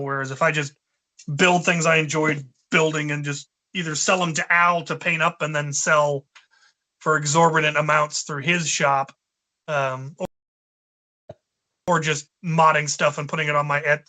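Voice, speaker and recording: Bright-voiced man in his forties, rambling. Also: fairly high pitch, level tone, normal speed. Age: 40s. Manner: rambling, thinking aloud with many fillers. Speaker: co-host, blaster modder. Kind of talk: casual chat.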